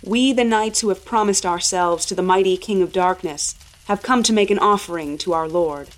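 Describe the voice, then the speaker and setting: A woman's voice: medium pitch, moderate pace, natural voice, poor audio. Woman acting a solemn, grandiose male cult leader. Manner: ceremonial, declaiming. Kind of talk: horror audio story.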